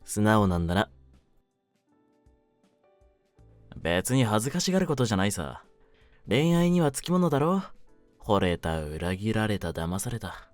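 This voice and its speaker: A male voice actor plays a young gangster with a low voice, recorded dry and intimate over music. He is brooding and lonely, talking in rough, streetwise words with a soft, clingy undertone, in the style of a situation-voice roleplay. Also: very animated, normal speed, dry recording. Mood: gentle, lonely. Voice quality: low-pitched voice.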